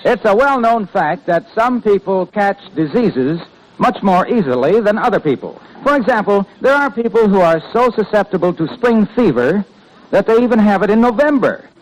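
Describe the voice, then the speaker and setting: A man with an American accent announcing and pitching in polished, salesmanlike 1950s radio style. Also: lively intonation, moderate pace, slight background noise, poor audio.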